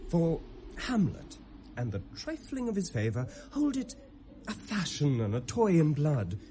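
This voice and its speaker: A man's voice: on the high side, wildly swooping pitch, slow pace, warm tone, heavily acted. A British man playing an earnest young nobleman, a protective brother, with theatrical classic Shakespearean radio delivery. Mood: cautioning. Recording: hissy vintage radio drama recording.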